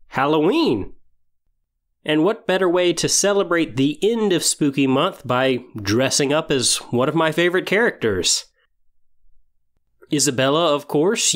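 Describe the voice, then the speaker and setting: Man hosting a podcast, measured and earnest. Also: medium pitch, a bit bright. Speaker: podcast host. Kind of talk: semi-scripted podcast monologue about tabletop role-playing.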